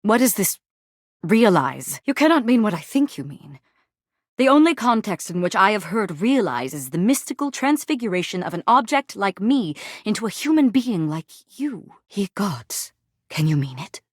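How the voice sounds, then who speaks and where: A woman voicing a proud, fervent warrior woman in a video game, theatrical and declamatory, in archaic high-flown speech. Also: very animated, moderate pace, no room sound, studio quality. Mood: astonished, hopeful. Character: proud, fervent female warrior, grandiose and lovestruck. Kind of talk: theatrical game character acting in archaic English.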